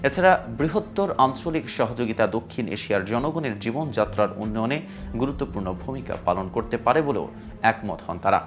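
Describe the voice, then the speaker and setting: A man with a Bangladeshi accent presenting scripted news in a formal, measured newsreader delivery. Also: mid-range voice, expressive. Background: music bed.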